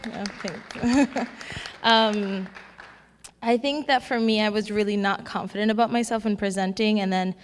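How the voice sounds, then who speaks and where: A woman's voice: mid-range voice, fairly even intonation, unhurried, a little hiss or hum. A young woman who recently graduated, speaking earnestly on a student panel with hesitant fillers. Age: young adult. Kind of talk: casual panel talk. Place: conference hall at a college. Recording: hall reverb.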